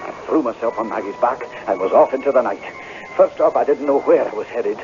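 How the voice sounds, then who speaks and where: An actor playing a desperate tenant piper, by turns humble and defiant, in an old-time radio drama. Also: male voice, medium pitch, expressive, brisk, over loud music, natural voice. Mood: urgent narrating. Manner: deferential stammering that turns to impassioned declaiming. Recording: dull, noisy vintage radio recording.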